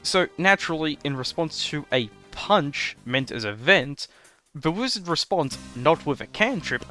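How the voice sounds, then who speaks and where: A man with a bright, crisp-edged voice at a middling pitch presents scripted commentary. His phrasing is formal and wordy, like a written essay read to viewers. Faint music plays behind him. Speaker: host of a tabletop roleplaying commentary show. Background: background music.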